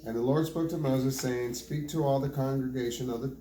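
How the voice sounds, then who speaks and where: A man reading scripture aloud in a plain, measured way, with occasional small stumbles. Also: mid-range voice, fairly even intonation, dark tone. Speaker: Bible reader. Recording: roomy home recording with faint background noise.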